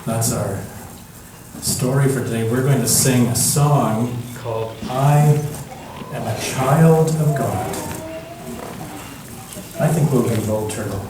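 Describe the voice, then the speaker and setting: A man reading a picture book aloud as a storyteller, in a gentle, measured manner with long pauses. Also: mid-range voice. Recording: echoey room from far off, background noise.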